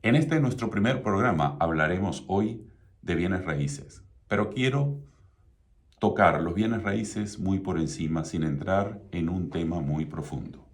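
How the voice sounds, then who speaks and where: A middle-aged man from Venezuela with a Venezuelan Spanish accent, hosting his own radio talk show in a measured, promotional way. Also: deep voice. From Venezuela (Mérida). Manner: measured, promotional, repeats contact details.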